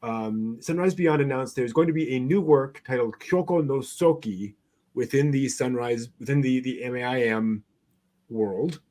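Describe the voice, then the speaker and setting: Male co-host, mid-pitched, casual news commentary, halting as he checks his notes. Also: a little mellow. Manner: halting delivery, searching through notes aloud. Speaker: co-host of an anime news show.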